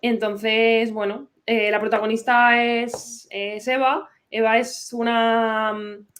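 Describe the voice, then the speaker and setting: A woman from Madrid speaks as a guest on a book podcast, relaxed and chatty, and often laughs lightly. Her voice sits a little higher than most women's. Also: takes it slowly. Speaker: guest, historian and novelist. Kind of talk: casual interview.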